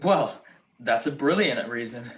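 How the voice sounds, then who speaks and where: A man playing a wry, weary gentleman detective who loves his sleep, in 1940s radio comedy style. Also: medium pitch, lively intonation, a little slow, close to an everyday voice.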